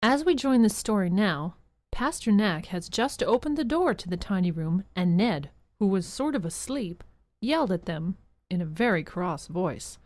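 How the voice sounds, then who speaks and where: A woman's voice: mid-range voice, lively intonation, moderate pace, darkish tone, no room sound. A woman narrator reading a scripted holiday story for a radio drama in a storytelling style. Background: music.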